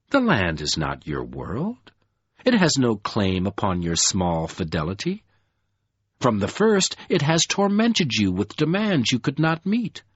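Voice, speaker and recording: A man voicing a scornful, mocking ancient dark lord in an audiobook, slow and husky. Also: no room sound, lo-fi sound, heavily acted. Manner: slow, deep, sneering delivery. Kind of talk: audiobook character voice.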